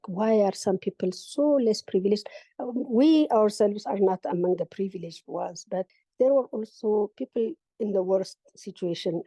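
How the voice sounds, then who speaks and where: A woman's voice: medium pitch, mellow, no echo, poor audio. A middle-aged woman from Ethiopia, a guest telling her life story in an interview, earnest and reflective. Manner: earnest, reflective, retells with quoted dialogue. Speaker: coffee business manager, guest.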